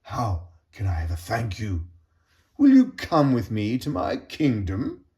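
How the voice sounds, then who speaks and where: A man's voice: on the low side, huge pitch swings, unhurried, dark tone, exaggerated voice. A man voicing a grateful, gallant young prince in an earnest, dramatic storybook manner.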